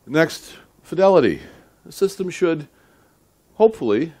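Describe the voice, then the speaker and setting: A middle-aged man lecturing at a seminar in a deliberate, emphatic but conversational way, often checking in with his listeners. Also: a little high, big pitch swings, warm tone, husky. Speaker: audio engineering lecturer.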